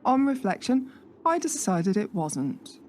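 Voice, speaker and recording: A woman's voice: medium pitch, very animated, a little slow, a little mellow, soft background music. A woman playing an observant, dryly sceptical new employee in a radio play, with a questioning manner. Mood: wry. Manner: dry, questioning.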